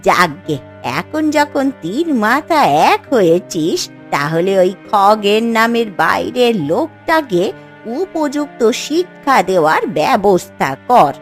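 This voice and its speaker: A woman playing a kindly old village granny in a cartoon fairy tale, unhurried, maternal and gently chiding. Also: medium pitch, big pitch swings, faint music behind. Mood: advising, firm.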